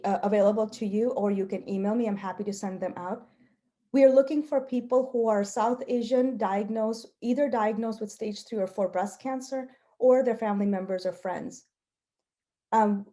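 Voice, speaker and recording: Woman, native Gujarati speaker, health researcher at the podium. Relaxed, warm timbre, earnest delivery with deliberate emphasis. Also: medium pitch. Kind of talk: prepared talk with personal storytelling.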